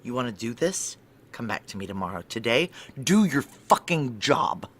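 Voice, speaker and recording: An actor playing a guarded, wry security chief in a noir audio drama, narrating and speaking in a clipped deadpan. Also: male voice, medium pitch, normal speed. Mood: tense, hard.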